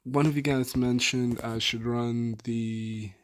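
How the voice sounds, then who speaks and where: Hoarse, laid-back young man gamer. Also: mid-range voice, fairly even intonation, slow. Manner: laid-back, filler-heavy, trailing off. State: hoarse, soft on mic. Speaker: gaming YouTuber. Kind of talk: casual weapon build rundown.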